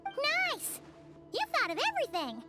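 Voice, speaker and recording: A tiny chattering fairy from a video game. Her squeaky, childlike voice is extremely high and swings around eagerly, with music playing clearly behind it. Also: huge pitch swings, a little slow, exaggerated voice. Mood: excited. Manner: childlike, refers to herself by name. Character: tiny excitable chatty female fairy companion.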